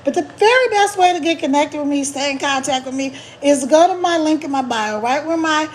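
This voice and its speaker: A middle-aged woman, a little hoarse, chatting casually on a live stream as an online marketing coach, emphatic and repeating her key points. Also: high-pitched, animated, a little fast, slight background noise.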